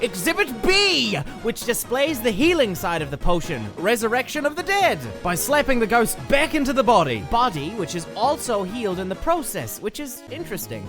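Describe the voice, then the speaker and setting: A man voicing an excitable, bookish cup-headed cartoon brother in a comic dub, lecturing emphatically. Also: very high voice, normal speed, few pauses, exaggerated voice. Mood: eager lecturing. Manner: emphatic, rambling lecture delivery. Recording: loud music behind the voice.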